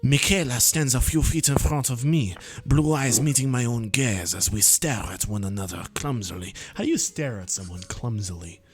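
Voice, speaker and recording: A man in his twenties with a strained, tiring voice, reading a visual novel aloud in a slow, deliberate storybook manner with dry self-mocking asides. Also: mid-range voice, soft background music. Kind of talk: dramatic read-through of game text with asides to friends.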